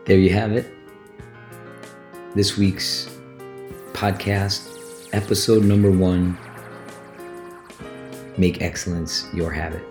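Hoarse raspy man with a deep voice. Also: darkish tone. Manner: slow, measured motivational delivery. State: hoarse, recovering from laryngitis. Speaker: martial arts instructor and podcast host. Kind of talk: motivational podcast talk.

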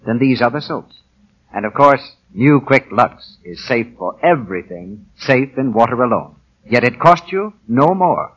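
Casting: vintage 1940s radio pitchman, male, husky and lively, scripted delivery. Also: rough recording. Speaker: radio announcer.

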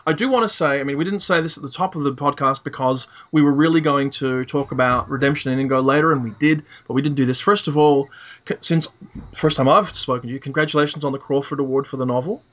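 Guy hosting a casual book chat podcast, kinda halting, medium voice, lo-fi call audio. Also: mid-range voice, steady tone, a bit bright. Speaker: podcast host. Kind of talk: casual literary discussion. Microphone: internet voice call. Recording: dull lo-fi internet call.

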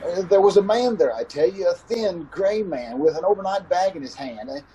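A man's voice: high-pitched, animated, moderate pace, warm tone, poor audio. A man playing a haunted, uneasy motorist in an old-time radio suspense drama, recorded over a video call. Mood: panicked insistence. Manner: brooding in narration, hesitant and stammering in dialogue. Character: haunted, uneasy male motorist narrating his ordeal. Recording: video call with clear background noise.

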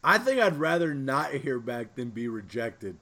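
A man's voice: mid-range voice, big pitch swings, moderate pace, bright, forceful tone. A man hosting a podcast in casual chat, joking around and asking curious questions. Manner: joking, inquisitive. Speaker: podcast host.

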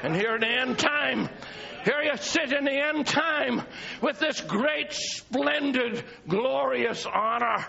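High-pitched gravelly man preaching loud in church, muffled lo-fi recording with the congregation around him. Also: slow pace. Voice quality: high strained shouting voice, gravelly. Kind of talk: shouting fiery sermon.

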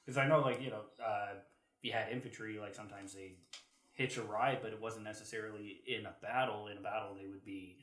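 A Canadian man with a lowish voice chats on a podcast. His delivery is halting as he works through points. The room sounds distinctly echoey. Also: fairly even intonation, fairly bright tone. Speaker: podcast co-host. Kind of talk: casual chat. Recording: roomy echo.

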